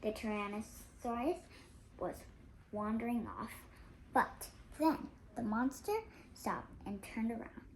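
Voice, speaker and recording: An eight-year-old child reading a storybook aloud, halting at times and stumbling over words. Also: medium pitch, slight background noise, poor audio. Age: child, 8 years old. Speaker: young reader on a read-aloud channel.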